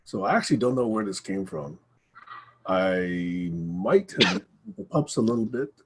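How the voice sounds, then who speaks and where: A hoarse man hosting a casual group video call, speaking low and clearing his throat often. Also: lively intonation, unhurried, noisy background. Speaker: discussion group host. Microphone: computer microphone on a video call.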